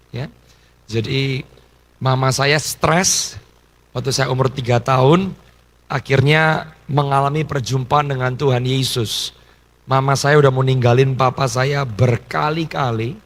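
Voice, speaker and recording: A man in his thirties from Indonesia, a pastor telling his life story in a conversational sermon and dropping in English phrases. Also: medium pitch. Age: 30s. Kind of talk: testimony sermon.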